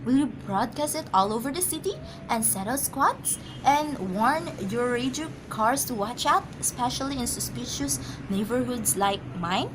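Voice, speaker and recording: A woman's voice: fairly high pitch, lively intonation, moderate pace, hardly any pauses, lightly acted. A woman acting an anxious, demanding bedridden wife in an amateur radio drama, insistent and agitated. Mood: urgent pleading. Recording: noisy amateur home recording.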